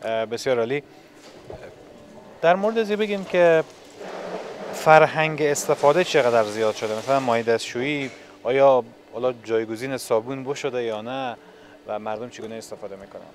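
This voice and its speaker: A male TV reporter at a medium pitch. He is interviewing people on location, holding a microphone in a big indoor factory hall. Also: slight background noise. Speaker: television reporter. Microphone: handheld reporter microphone.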